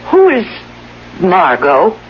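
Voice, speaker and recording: An actress playing a frail invalid wife in a radio drama, anxious, loving and suspicious, with an emotional, pleading manner. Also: female voice, on the low side, huge pitch swings, slow pace, heavily acted. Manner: emotional, pleading, self-pitying. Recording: muffled, hissy old radio broadcast.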